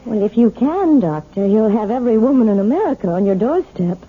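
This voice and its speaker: A timid, fearful wife, with a raised, breathy voice and a quiet, reserved manner. The sound is thin and phone-like, from an old radio broadcast. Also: female voice, on the high side, big pitch swings, brisk. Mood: uneasy. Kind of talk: 1970s radio drama acting.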